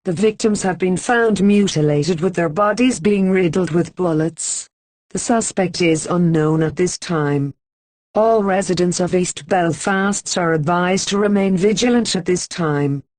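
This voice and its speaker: A woman reading a scripted emergency broadcast announcement in an even, formal manner with slow, deliberate pauses. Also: fairly low pitch, dry recording.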